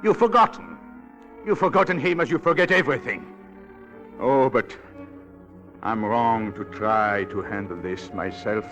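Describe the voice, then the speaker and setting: An actor playing a cold, manipulative husband with a deliberate, insinuating manner, in a 1940s radio drama style. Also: male voice, mid-range voice, dull audio, music in the background, lightly acted. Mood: coldly menacing. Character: cold, domineering, manipulative husband, smoothly cruel.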